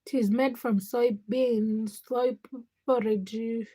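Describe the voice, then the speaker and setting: A woman's voice: on the high side, fairly even intonation, takes it slowly, mellow. A woman with a Ugandan accent chatting casually as a livestream host, speaking to viewers in short, often repeated phrases. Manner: short, fragmented phrases with repetition.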